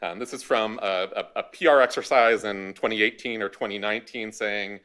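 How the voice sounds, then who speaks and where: A man speaking as a history panelist at a university event, giving a measured academic talk with a dry, wry touch. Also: fairly low pitch, fairly even intonation, a bit bright, clean tone.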